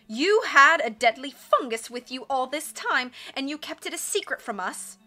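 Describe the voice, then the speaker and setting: Lady doing a slippery island leader guy from a storybook, pushy, bright voice. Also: sits high, animated, fairly quick, soft background music. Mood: outraged. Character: deceitful island leader, man, placating and accusing.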